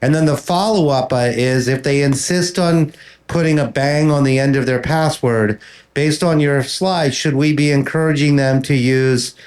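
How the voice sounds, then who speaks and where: A man's voice: mid-range voice, normal speed, darkish tone. A man in a conference audience asking a two-part question, with a wry, lightly joking touch. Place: conference talk room.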